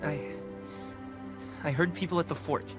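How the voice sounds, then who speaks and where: An actress voicing an earnest, anxious and loyal youngster in a video game, with a pleading manner. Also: female voice, low voice, fairly even intonation, measured pace, loud music behind the voice. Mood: pleading, upset. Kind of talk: dramatic video game acting.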